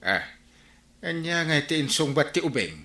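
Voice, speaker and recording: A man playing a comical, excitable village captain full of exclamations in an Ilocano radio comedy drama. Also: fairly high pitch, moderate pace, heavily acted. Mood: incredulous. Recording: echoing radio drama, birdsong behind.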